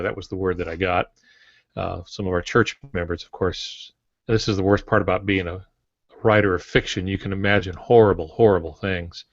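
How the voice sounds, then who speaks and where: An American man in his sixties telling stories casually, with dry humor and a deliberate delivery. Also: lowish voice, no room sound. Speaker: podcast co-host, novelist. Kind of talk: casual storytelling chat. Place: home office on a video call. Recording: dull video call audio.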